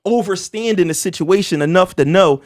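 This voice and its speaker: A man in his forties hosting a casual podcast, talking with animated emphasis, street slang and stammered restarts. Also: high voice, a little fast. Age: 40s. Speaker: podcast host.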